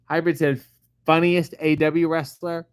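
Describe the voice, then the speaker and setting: A middle-aged man, a comedian host, reading viewer comments aloud and answering them in a casual, profane, deadpan way. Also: on the high side, moderate pace, clear, resonant tone, dry recording. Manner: profane, sardonic, deadpan asides. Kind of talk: casual chat answering live chat questions.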